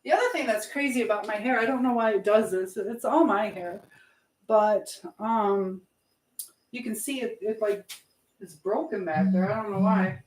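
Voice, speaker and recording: A woman's voice: medium pitch, normal speed, darkish tone. A woman hosting a casual live chat, rambling as she reads out and answers viewer comments. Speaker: livestream host. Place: indoors at home, in an echoey room. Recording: echoey room.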